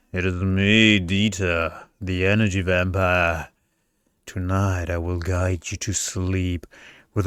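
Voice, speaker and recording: Man playing a deep, droning energy vampire. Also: clear, resonant tone, dry recording, studio quality. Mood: inviting. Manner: dramatic, philosophical droning. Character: smug, monotone male energy vampire, pompous and boring. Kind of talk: slow seductive ASMR storytelling.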